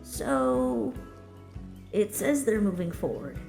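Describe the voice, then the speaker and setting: A woman voicing a brash but self-doubting young female pegasus pony in a story reading, with a casual, slangy, excitable manner. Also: fairly low pitch, takes it slowly, soft background music. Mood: tender, unsure.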